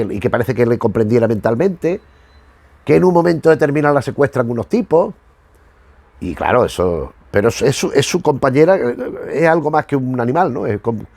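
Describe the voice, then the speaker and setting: Gravelly middle-aged man from Spain. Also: mid-range voice, a little mellow, no echo. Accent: Spanish from Spain. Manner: rambling, self-correcting, emphatic repetition. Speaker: host of a retro television review show. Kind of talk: informal spoken commentary.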